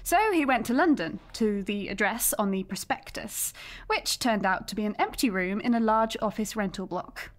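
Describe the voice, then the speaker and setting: A woman's voice: medium pitch, animated, normal speed, natural voice. An actress playing a businesslike female police sergeant in an audio drama, firm and matter-of-fact.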